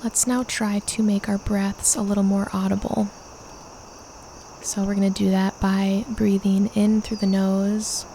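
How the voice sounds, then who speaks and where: A woman leading a guided meditation, calmly and gently instructing with unhurried pauses. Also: mid-range voice, slight background noise.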